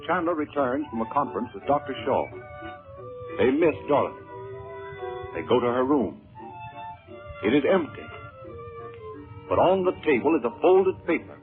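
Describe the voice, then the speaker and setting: Man, mid-pitched, dramatic scripted narration, vintage radio announcer, music behind, thin scratchy recording. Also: narrow, tinny audio. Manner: dramatic, measured phrasing.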